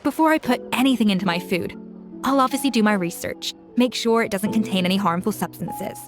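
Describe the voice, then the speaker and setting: An actress playing a poised female chef in a video game, speaking in a calm, measured, articulate way. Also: medium pitch, music in the background, close to an everyday voice.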